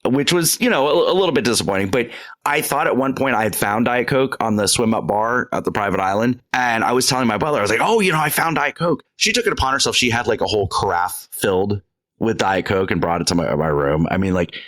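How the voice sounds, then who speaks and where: A man co-hosting a casual podcast, telling travel stories in an animated way with lots of restarts and laughter. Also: lowish voice, fast. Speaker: podcast co-host.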